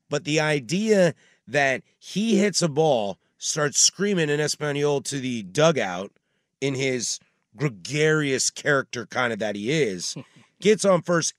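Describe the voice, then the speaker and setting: A male sports talk host with a lively, swooping delivery, jumping from excited bursts to quiet mutters. His voice sits in a middle range and sounds close and dry on a studio mic. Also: no echo. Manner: animated storyteller, swings between loud bursts and low asides.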